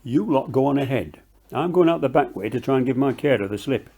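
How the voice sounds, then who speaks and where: A man's voice: mid-range voice, animated, brisk, vocal fry, warm tone, slightly rough. A man playing a cheeky, blunt old man, mischievous and grumbling, in an audio drama. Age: older. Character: mischievous, grumbling old man who dodges his carer.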